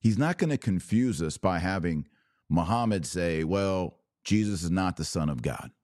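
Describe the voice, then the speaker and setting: A man's voice: low-pitched, expressive, normal speed, darkish tone, no echo, clean studio sound. A man hosting a religious podcast, giving emphatic, dogmatic commentary in short punchy phrases with rhetorical questions. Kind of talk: opinionated religious commentary, talking to listeners.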